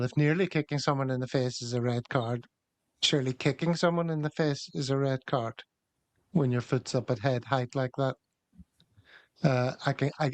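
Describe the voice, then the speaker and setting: Guy hosting a football chat, mid pitch, dry close sound. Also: no room sound. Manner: reads viewer comments, runs through match stats. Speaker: podcast host.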